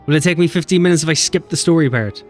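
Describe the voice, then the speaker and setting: A man's voice: on the high side, faint music behind. A man streaming a game, chatting casually and swearing as he bickers with chat. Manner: animated, swearing, quick banter with chat.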